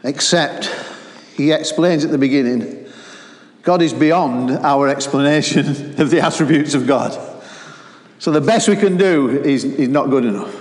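Lancashire man, preacher, lively, rousing the congregation, long hall reverb. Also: a little high, a little slow. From Lancashire, England. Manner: animated, calls to the congregation. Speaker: guest preacher. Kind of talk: sermon. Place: church hall. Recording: roomy hall with long echo.

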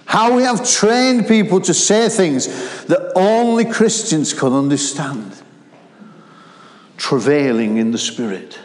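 A middle-aged man with a Lancashire accent preaching a sermon, animated and calling out to the congregation. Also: fairly high pitch, takes it slowly. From Lancashire, England. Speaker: guest preacher. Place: church hall. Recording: roomy hall with long echo.

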